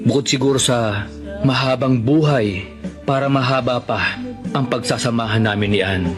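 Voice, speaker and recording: Radio drama actor as sincere Filipino man in love, mid-range voice, loyal and gentle, music bed. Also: medium pitch, moderate pace, close to an everyday voice. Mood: content, respectful. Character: devoted, gentle suitor, earnest and loyal. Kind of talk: Tagalog radio drama acting.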